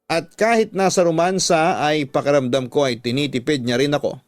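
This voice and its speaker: A man's voice: fairly high pitch, normal speed, no echo. A man with a Filipino accent reading a confessional love story as scripted dramatic radio narration. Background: background music.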